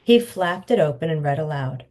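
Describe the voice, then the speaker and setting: A woman reads her own children's novel aloud, her voice sitting on the lower side yet swinging widely in pitch. She tells the story with expressive storyteller energy, bringing the narration to life. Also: moderate pace. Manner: expressive storytelling, acting out the dialogue. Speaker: author.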